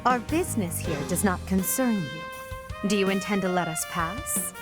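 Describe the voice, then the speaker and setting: Actress playing a commanding, expressive young noblewoman. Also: mid-range voice, a little slow, music in the background, lightly acted. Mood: cold, firm. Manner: formal, authoritative, cool. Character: commanding, serious, formal young noblewoman leader. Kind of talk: video game voice acting.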